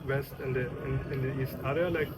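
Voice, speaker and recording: A man interviewing someone casually, asking short relaxed questions. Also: medium pitch, fairly quick. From Germany. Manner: relaxed, brief questions and backchannels. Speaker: interviewer, travel vlogger. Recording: loud noise behind.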